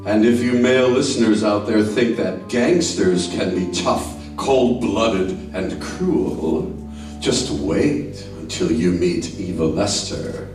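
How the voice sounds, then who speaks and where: A man's voice: lowish voice, takes it slowly. A man playing a formal, dramatic 1940s radio mystery host, reading scripted narration. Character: suave male radio mystery show host. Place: indoor theater stage. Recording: very echoey stage, distant mic, music behind.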